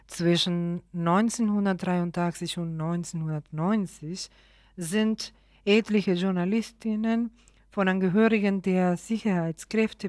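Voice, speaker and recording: A woman presenting radio news, reading a report haltingly with stumbles and pauses. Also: medium pitch, a little mellow, dry recording, clean studio sound. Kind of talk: read-out human rights news report.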